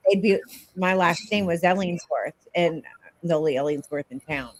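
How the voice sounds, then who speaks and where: A woman's voice: fairly low pitch, moderate pace, no room sound, poor audio. A woman hosting a podcast, chatting casually and laughing easily with her guests. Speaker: podcast host. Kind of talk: casual chat.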